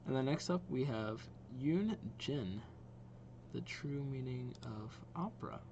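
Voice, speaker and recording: A man's voice: mid-range voice, lively intonation, a little slow, highs cut off, background noise. A young man hosting a reaction video with casual, off-the-cuff commentary.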